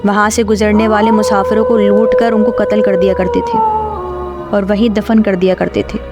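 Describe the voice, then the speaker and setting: A woman's voice: medium pitch, animated, moderate pace. A woman reading a scripted horror story as its narrator. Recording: clear music bed under voice.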